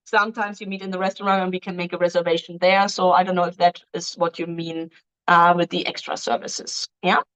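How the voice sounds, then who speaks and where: A woman's voice: fairly low pitch, flat delivery, brisk, fairly bright tone, clean tone, low-quality recording. Woman hosting a live Q&A. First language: German. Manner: reads questions aloud, answers in loose non-native phrasing with many ums. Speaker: dating agency host answering viewer questions. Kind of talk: live-stream Q&A, casual.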